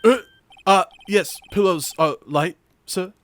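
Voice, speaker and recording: A man's voice: sits high, slow pace. A man voicing a nervous, deferential hotel bellboy who hesitates and stammers, improvised for a video game playthrough.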